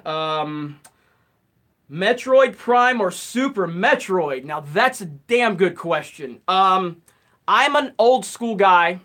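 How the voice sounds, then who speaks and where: A man's voice: high voice, takes it slowly. Chatty man answering viewer questions live. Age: 30s. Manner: relaxed, rambling, reads comments aloud. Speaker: host. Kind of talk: live stream Q&A chat.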